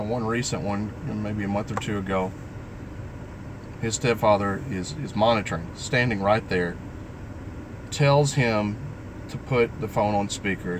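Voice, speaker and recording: Middle-aged male vlogger, very low voice, halting and earnest personal talk, clear background noise. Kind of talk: personal vlog talk, live stream.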